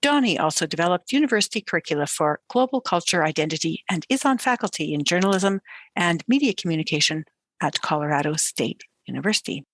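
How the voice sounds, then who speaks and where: A woman hosting a podcast, reading a measured, formal guest introduction and asking questions. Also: fairly low pitch, lively intonation, fairly bright tone, no echo. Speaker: podcast host. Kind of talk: interview host, reading a scripted introduction.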